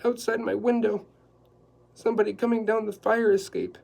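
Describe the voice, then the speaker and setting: A man reading aloud and voicing a nervous, tearful woman housekeeper who talks in a high, fretful whimper. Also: flat delivery, normal speed, creaky, a little mellow. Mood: anxious, fretful.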